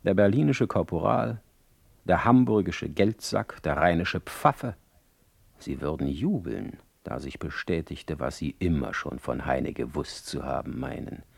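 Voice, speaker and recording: A brooding poet of a literary radio drama. The delivery is slow and eloquent, swinging widely in pitch with theatrical irony. It is captured dry and close. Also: male voice, lively intonation, gravelly, slightly rough, no echo, heavily acted. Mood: bitter, wretched. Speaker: actor, narrator of a radio play. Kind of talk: literary radio play monologue, letter read aloud.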